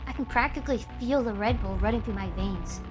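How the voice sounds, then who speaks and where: A woman's voice: on the high side, a little fast, lightly acted. A woman acting as a scheming, vengeful young female shark idol in a dramatic audio drama. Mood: snide. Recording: echoey, loud music underneath.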